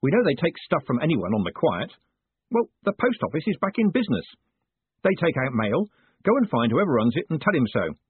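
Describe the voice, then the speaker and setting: A sharp-witted young con man gives quick orders and dry quips, played by a man. His husky voice rises and falls widely. The sound is dry and squeezed thin, as if over a phone. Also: on the high side, very animated, no room sound, phone-quality sound, voice pushed up, full character voice. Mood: brisk. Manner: brisk, scheming, sardonic. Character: quick-thinking young male con man turned postmaster.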